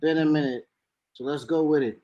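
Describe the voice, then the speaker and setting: High-voiced New hype-man. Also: fairly even intonation, brisk, muffled recording, very noisy. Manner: loud hype-man energy, slangy. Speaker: podcast co-host. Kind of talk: casual wrestling podcast chat.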